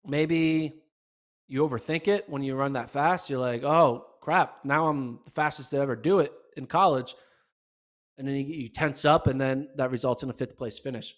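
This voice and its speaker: A man hosting a casual solo podcast recap, chatty and excitable, with a voice going hoarse. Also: mid-range voice. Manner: excitable, chatty, many fillers. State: losing his voice. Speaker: podcast host.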